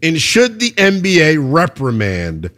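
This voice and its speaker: A man in his twenties hosting a call-in sports talk show, lively and slangy, laughing often. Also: on the high side, measured pace. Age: 20s. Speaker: sports radio host.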